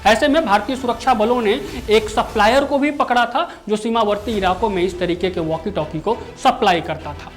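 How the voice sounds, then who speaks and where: A woman's voice: medium pitch, moderate pace, few pauses. A woman news anchor explaining a story in long, partly ad-libbed sentences that circle back on phrases. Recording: music bed under the voice. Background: background music.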